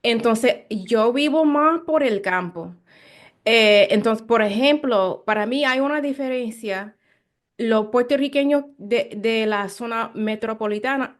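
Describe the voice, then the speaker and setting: Slow-paced American woman interviewer. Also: fairly high pitch. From Chicago, United States. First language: English. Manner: halting second-language speech, searching for words. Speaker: interviewer, host. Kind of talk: casual conversational interview in learned Spanish.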